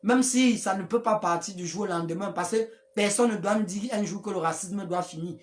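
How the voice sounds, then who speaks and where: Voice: mid-range voice, a little fast, faint music behind. A speaker, giving a rambling, preachy live-stream address to followers, with many restarts. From Africa.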